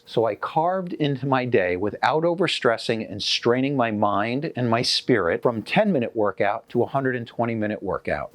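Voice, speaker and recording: A man in his sixties speaks in a relaxed, conversational way, pitched right in the middle of the male range. He restarts and corrects himself often, sounding earnest and unpolished. The recording is dry and close, with no room echo. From United States. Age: 60s. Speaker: chiropractor. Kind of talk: casual talk to camera.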